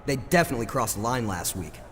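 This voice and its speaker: A man's voice: medium pitch, very animated, quick pace, a little hiss or hum, close to an everyday voice. A man playing an earnest, defensive wrestler in a video game, speaking in a casual, placating way. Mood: apologetic, defensive.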